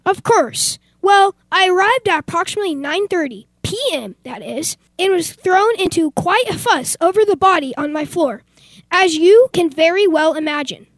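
A young woman playing a fussy, wealthy, oblivious gentleman in an amateur old-time radio play. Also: very high-pitched, animated, normal speed, no echo. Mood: fussy, indignant. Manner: fussy, exclamatory, posh phrasing.

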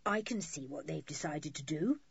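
A bold schoolboy leader from a children's adventure story, performed by a woman. He speaks forthrightly with lively swings of pitch and a husky edge. The sound is a little dull. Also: normal speed, no echo, full character voice. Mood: pleased. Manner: indignant, forthright.

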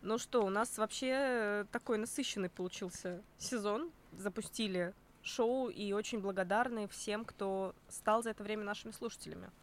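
A woman's voice: mid-range voice, normal speed, a little hiss or hum. A woman co-hosting a show in casual, playful banter and improvised storytelling. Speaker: podcast co-host. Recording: music behind the voice.

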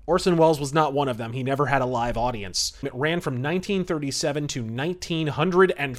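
A man hosting a podcast, giving casual, enthusiastic commentary in an emphatic, conversational way. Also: on the high side. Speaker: podcast host.

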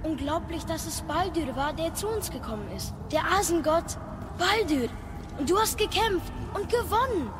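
Casting: eager young warrior's son, high voice, inquisitive and earnest, audio drama over clear background ambience. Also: female voice, expressive, moderate pace, noisy background. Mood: curious, earnest. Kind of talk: German audio drama acting.

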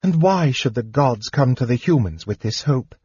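A man voicing a young elf princess who gently pleads with her dying father. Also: mid-range voice, lively intonation, normal speed, slightly rough, dry recording, dull audio, voice pushed up. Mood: pleading.

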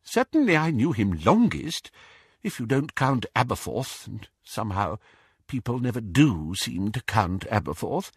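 A man voicing a frail, fussy old male wizard with a high-pitched, wheezy voice. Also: very animated, a little slow, breathy edge, no room sound, studio quality, full character voice. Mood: fond. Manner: flustered, tearful, indignant. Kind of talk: audiobook character voice.

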